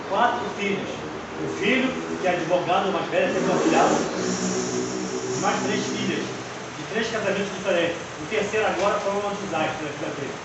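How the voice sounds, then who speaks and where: A Brazilian man in his fifties delivers a fervent seminary lesson, pressing his listeners with rhetorical questions and personal testimony. His voice sits high and rings through a very echoey room. It is caught from a distance, with steady background noise. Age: 50s. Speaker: seminary teacher. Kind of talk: preaching lecture on the Psalms. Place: classroom.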